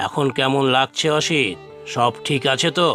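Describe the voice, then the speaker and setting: A fatherly village chief in a cartoon, with a bright, forceful voice at middle pitch. He speaks with measured dignity and gently admonishes, over faint music. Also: animated. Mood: concerned. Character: principled village council chief, calm, fatherly, caring man. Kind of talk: Bengali cartoon dialogue.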